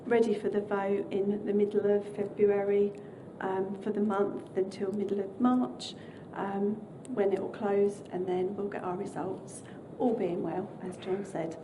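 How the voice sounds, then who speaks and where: A woman gives a halting progress report to a council, pausing often on fillers. Her delivery stays very flat and even in pitch. A clear low rumble sits under the voice in the meeting room. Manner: hesitant, many fillers. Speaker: task group presenter on a business bid.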